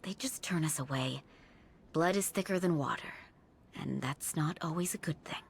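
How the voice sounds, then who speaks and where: An actress playing a troubled, resigned middle-aged mystic mother in a game cutscene, speaking in a solemn, measured, guarded way. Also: low voice, creaky, breathy edge, full character voice. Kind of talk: dramatic video game cutscene dialogue.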